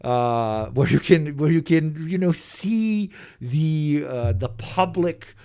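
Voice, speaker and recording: A man with an Eastern European accent, reacting to a video in casual, profane, rambling commentary with sudden animated bursts. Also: fairly high pitch, slow, clear, resonant tone, dry recording, studio quality.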